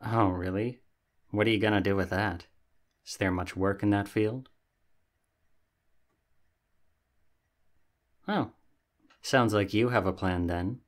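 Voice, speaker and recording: A man's voice: on the low side, normal speed, lightly acted. A man playing a sassy, vain young catboy roommate in an ASMR roleplay, talking in a casual, theatrically huffy way. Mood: sleepy, nosy.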